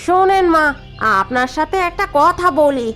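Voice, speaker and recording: A woman's voice: extremely high pitch, normal speed, a bit bright, soft background music. A woman voicing a conniving elder daughter-in-law. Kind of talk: cartoon voice acting.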